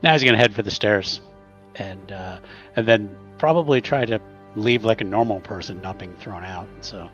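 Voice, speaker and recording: A man's voice: lowish voice, normal speed. A man casually narrating his character's actions in a tabletop roleplaying game, hesitant and repeating phrases. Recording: slightly dull lo-fi audio. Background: faint music.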